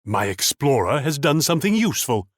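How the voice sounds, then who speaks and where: An actor playing a proud, wealthy military ruler in a video game, pompous and condescending in a theatrical way. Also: male voice, mid-range voice, very animated, fairly quick, no echo, studio quality. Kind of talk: video game character voice lines.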